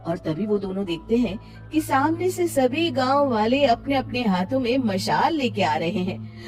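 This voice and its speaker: A woman narrating a scripted cartoon tale as an expressive storyteller. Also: a little high, measured pace, faint music behind.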